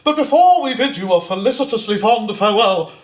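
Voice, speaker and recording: A man's voice: sits high, lively intonation, a little fast. An actor playing a jovial, pompous music hall chairman on stage, making florid, projected announcements that relish every alliteration. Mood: jovial, grandiose. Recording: roomy theatre, faint background noise.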